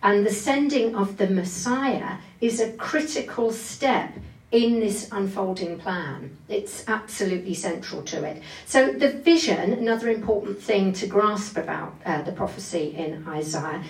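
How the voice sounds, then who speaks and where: A woman's voice: mid-range voice, a little slow, a little mellow. A woman giving an expository Bible sermon, explaining carefully and leaning on key phrases. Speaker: preacher giving a Bible talk. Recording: echoey room, distant microphone.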